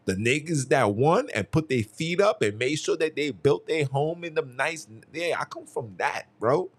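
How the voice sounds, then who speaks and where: A man's voice: fairly high pitch, expressive, moderate pace. A young man hosting a solo podcast, talking in a heated, emphatic way and often repeating his phrases. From Haiti. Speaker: podcast host. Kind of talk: casual solo commentary.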